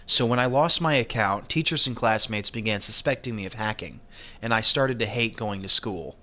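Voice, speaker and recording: A man's voice: on the low side, normal speed, bright, forceful tone. A man narrating as a subdued teenage boy in a game. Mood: glum.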